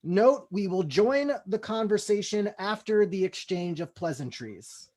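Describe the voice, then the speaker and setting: A man's voice: high voice, lively intonation, measured pace, a little mellow. A man acting as host and narrator of a comedy table read, in a mock-formal style.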